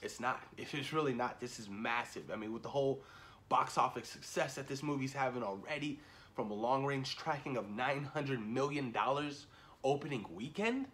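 A man in his thirties chatting casually and excitedly to camera, slangy and repeating himself for emphasis, as a reaction video host. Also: medium pitch, normal speed. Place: small indoor room at home. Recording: noticeable background noise, home camera recording.

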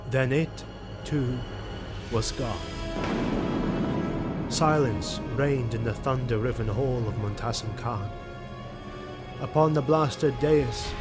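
A man delivers scripted fantasy narration with a grave, dramatic storytelling air. His voice sits in the middle of the male range. Music plays clearly behind him. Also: medium pitch.

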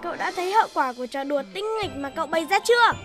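A performer voicing a small, excitable, bossy young cartoon animal with a squeaky childlike voice. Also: racing. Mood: scolding, eager. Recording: loud music behind the voice.

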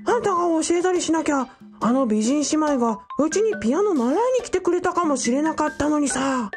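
A woman's voice: high-pitched, lively intonation, fairly quick, voice pushed up. A woman voicing a pushy, resentful music-shop clerk, blunt and accusing, in a radio drama. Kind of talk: radio drama, acted quote.

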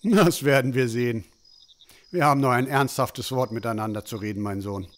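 A man playing a stern, disappointed village father, measured and reproachful, in a fantasy audio drama. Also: medium pitch, natural voice. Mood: stern disappointment.

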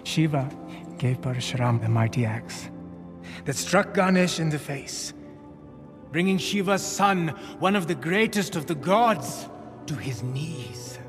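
Game villain: smug, menacing warlord, theatrical and taunting, big hall reverb. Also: male voice, fairly high pitch, expressive, unhurried. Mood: grandly narrating. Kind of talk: dramatic video game cutscene acting. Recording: long hall reverb under music.

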